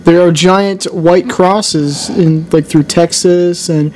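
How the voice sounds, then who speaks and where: A man's voice: high voice, steady tone, takes it slowly, darkish tone, slight background noise, rough recording. A man hosting a casual radio chat, joking with a guest and drawing him out with questions. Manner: joking, chatty interviewer. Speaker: host.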